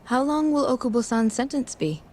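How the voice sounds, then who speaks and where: An actress playing a polite, concerned young hospital worker in a video game drama, speaking with restraint. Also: female voice, high voice, very animated, a little fast, a little mellow.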